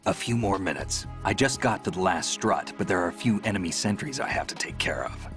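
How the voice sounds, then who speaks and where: A man playing a gruff, guarded veteran soldier in a video game, with a terse, dry, sardonic delivery. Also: deep voice, lively intonation, brisk, over music. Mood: businesslike. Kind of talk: dramatic video game voice acting.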